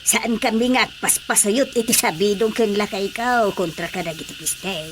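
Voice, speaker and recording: An actress playing a chatty, proud organic farm vendor with an Ilocano accent in a radio drama comedy. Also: female voice, medium pitch, moderate pace, slight background noise, natural voice.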